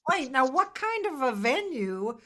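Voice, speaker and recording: A woman's voice: high-pitched, sing-song, unhurried, sharp tone. A woman hosting a casual interview, reacting with brief interjections. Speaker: interview host. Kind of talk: casual chat.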